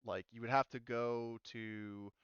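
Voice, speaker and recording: A man acting as game master in a casual online roleplaying session, thinking aloud and halting as he checks rules. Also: medium pitch, flat delivery, a bit bright, clean tone, dry recording, dull audio.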